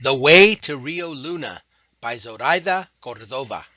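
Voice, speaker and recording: A man announcing award categories and book titles in a slow, measured way. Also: mid-range voice, lively intonation, sharp tone, dry recording. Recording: dull lo-fi dry recording.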